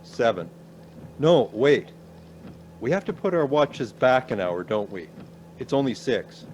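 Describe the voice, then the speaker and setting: An actor playing a polite, gentle middle-aged professor in a radio play. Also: male voice, mid-range voice, big pitch swings, a little slow, slight background noise. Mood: friendly, curious.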